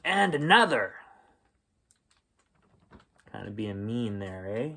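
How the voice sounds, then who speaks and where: Male children's storyteller, leisurely delivery, lively intonation, chatty gentle asides between lines of the book. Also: on the low side, creaky, a little hiss or hum. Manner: unhurried, expressive, with gentle asides to listeners. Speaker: bedtime story reader and host. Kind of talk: children's picture-book read-aloud.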